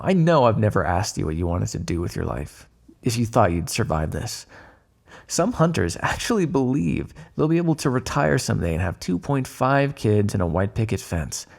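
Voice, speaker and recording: A man's voice: fairly low pitch, creaky, darkish tone, slightly rough, heavily acted. A man playing a smug, teasing vampire in an ASMR roleplay, sardonic and predatory with a hidden fondness, drawling on key words. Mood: wry, wistful. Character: smug, teasing male vampire, predatory yet secretly fond. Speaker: roleplay voice actor.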